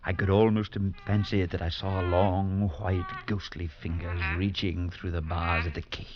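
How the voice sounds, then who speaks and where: An actor playing a priest who narrates the story in a measured way in a 1940s radio drama. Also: male voice, low-pitched, flat delivery, a crowd in the background, natural voice. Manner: measured narration with rising alarm in dialogue. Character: male priest narrator, earnest, steady, unsettled. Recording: dull lo-fi vintage radio with music.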